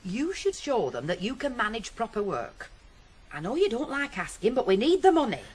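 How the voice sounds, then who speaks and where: An actress playing a brisk, scolding middle-aged working-class mother in a radio drama. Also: a little high, wildly swooping pitch, slightly rough, heavily acted. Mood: pressing. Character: stern, nagging working-class mother and pie seller. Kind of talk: 1980s radio drama acting. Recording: noisy vintage radio play recording.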